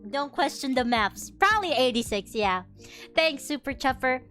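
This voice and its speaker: Brief: young female streamer, high bright voice, lively mock outrage, soft music underneath. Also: moderate pace. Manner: animated, exaggerated outrage, many restarts. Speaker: VTuber streamer. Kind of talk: casual chat while drawing, reading chat.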